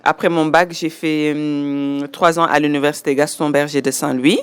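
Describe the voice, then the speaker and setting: A woman, giving a measured personal testimony as an interviewee and listing details step by step. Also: low-pitched, fairly even intonation, clear, resonant tone, no room sound. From Senegal. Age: 40s.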